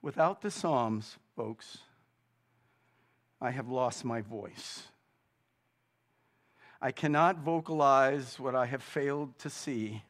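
A middle-aged man preaching a sermon, slow and deliberate, building to loud, fervent peaks before dropping back down. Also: mid-range voice. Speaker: pastor.

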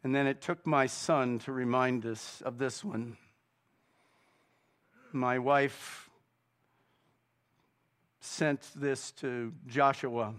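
Middle-aged pastor guy preaching, slow and deliberate, surges loud and passionate then settles. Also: mid-range voice, steady tone, clean tone. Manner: slow and deliberate, rising to loud fervent peaks. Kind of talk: sermon.

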